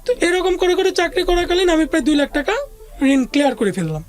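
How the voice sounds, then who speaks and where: A woman's voice: very high voice, very animated, fast talker. A woman with a Bangladeshi accent telling a personal story as an interview guest, in casual, conversational language with some English words mixed in. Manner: casual colloquial Bengali with occasional English business words. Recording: music playing under the voice.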